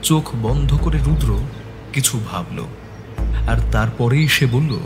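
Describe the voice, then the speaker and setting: A man narrating a detective story as the sleuth's loyal, concerned companion, in a measured dramatic style. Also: medium pitch, close to an everyday voice. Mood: measured suspense. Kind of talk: scripted suspense story narration. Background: background music.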